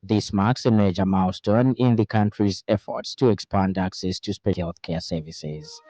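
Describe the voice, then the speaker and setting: A Zambian man presents the radio news from a script. His voice is low and mellow, and he keeps a formal, measured delivery. The studio sound is close and dry. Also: dry recording. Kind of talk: scripted news bulletin reading.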